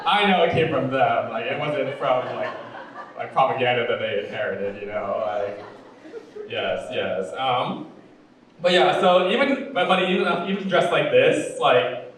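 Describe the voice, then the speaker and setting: A male stand-up comedian with a deep voice and a sweet, fruity cadence, telling jokes in a campy, chatty way full of "like" and "you know". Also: a little slow, fairly bright tone, distant mic. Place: comedy club stage. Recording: very echoey room, voice off-mic.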